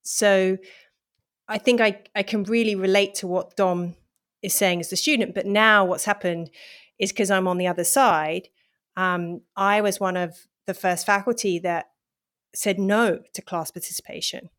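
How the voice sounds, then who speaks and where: A woman's voice: medium pitch, steady tone, measured pace, a bit bright. Thoughtful woman lecturer, hesitant. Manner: thoughtful, hesitant, with frequent fillers and restarts. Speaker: university lecturer, interview guest. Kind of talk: reading a passage aloud, then conversational interview answers.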